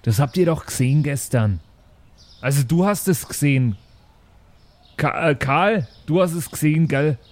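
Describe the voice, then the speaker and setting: A man playing a fretful, earnest rockstar schoolteacher, halting and insistent, in an improvised audio drama. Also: on the high side, expressive, a little mellow, natural voice. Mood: pleading.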